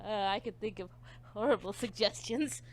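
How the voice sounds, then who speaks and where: A woman's voice: mid-range voice, normal speed, darkish tone. A woman chatting casually as a streamer on a headset mic, with a giggly manner and crude, joking banter. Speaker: streamer, co-host. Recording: faint background noise.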